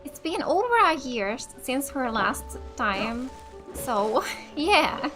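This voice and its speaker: A woman's voice: high voice, sing-song, slow pace, music in the background. A woman from Finland giving chatty, enthusiastic casual let's play commentary as a gaming host. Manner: chatty, enthusiastic about the game.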